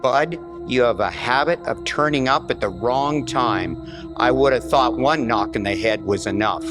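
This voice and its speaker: A man's voice: medium pitch, unhurried, over music, natural voice. A man playing a cool, threatening 1940s mob boss in a radio noir parody, giving clipped orders in hard-boiled slang. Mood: impatient, threatening.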